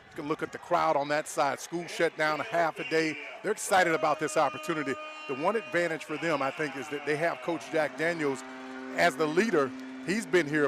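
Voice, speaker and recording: An American man giving live football analysis as a color commentator, conversational and emphatic. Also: on the high side.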